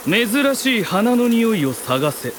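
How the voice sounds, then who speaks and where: A detached, blunt young inventor in an anime audio drama. The voice is fairly high and clipped into imperious short statements, with a clear buzz behind it. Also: male voice, sing-song, takes it slowly, a little hiss or hum, full character voice. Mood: deadpan, imperious.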